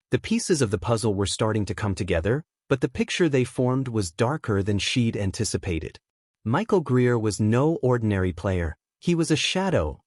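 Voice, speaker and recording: A man's voice: mid-range voice, darkish tone, no echo, clean studio sound. A man reading a dramatic fiction story as a scripted audiobook narrator.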